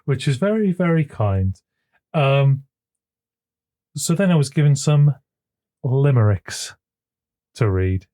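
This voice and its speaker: A man co-hosting a podcast in casual chat, with a smooth voice. He chuckles often and repeats words as he gets going, speaking into a desk microphone at his home computer. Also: medium pitch, big pitch swings, slow pace, long pauses, dark tone. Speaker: podcast co-host.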